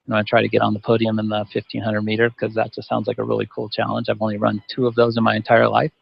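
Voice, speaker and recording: A man's voice: on the low side, monotone, racing, gravelly, slightly rough, no room sound. A man in his fifties answering on a panel, rambling and restarting his sentences often. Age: 50s. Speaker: masters runner guest. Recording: dull dry audio.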